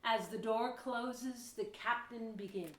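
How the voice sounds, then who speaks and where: A woman narrating a historical audio drama in a measured, formal storytelling manner. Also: on the high side, a bit bright. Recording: roomy, echoey voice recording.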